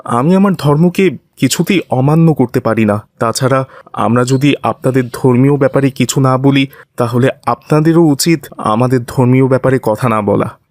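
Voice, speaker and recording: A devout cartoon imam voiced by a male actor, mid-pitched and dryly recorded. He reasons earnestly, polite yet firm and wronged. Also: moderate pace, a little mellow, dry recording, close to an everyday voice. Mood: indignant, earnest. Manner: pleading, reasoning. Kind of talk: dubbed cartoon dialogue.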